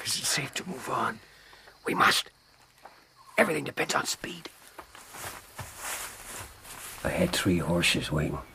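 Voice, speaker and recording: An actor playing a desperate, haunted frontiersman in a radio drama, grave and weary, with a gravelly, husky voice. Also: lowish voice, wildly swooping pitch, moderate pace, bright, forceful tone, slight background noise, heavily acted. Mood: grave, tense. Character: haunted, desperate male frontiersman recounting his lost love. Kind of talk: radio drama acting and narration.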